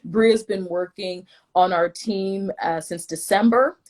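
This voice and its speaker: A woman's voice: mid-range voice, slow pace, darkish tone. A woman from the Midwest hosting a live stream in a chatty, self-deprecating way, often tripping over her words. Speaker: host, vice president of the collective.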